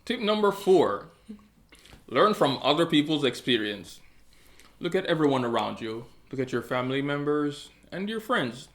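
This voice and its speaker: A man from Jamaica co-hosting a casual advice chat, calm and low-key with dry humor. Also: normal speed. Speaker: co-host.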